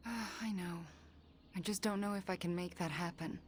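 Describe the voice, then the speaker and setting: A woman playing a fragile, self-loathing young sorceress in a video game, hushed and sighing. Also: medium pitch, steady tone, unhurried, a little hiss or hum, lightly acted. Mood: subdued, weary. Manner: hushed, sighing, breathy on heavy words. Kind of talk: video game voice acting, naturalistic drama.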